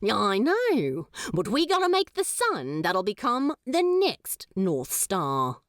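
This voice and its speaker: A woman's voice: medium pitch, wildly swooping pitch, slow, a bit bright, no room sound, studio quality, heavily acted. A woman voicing a smug, sardonic male demon who drawls and teases.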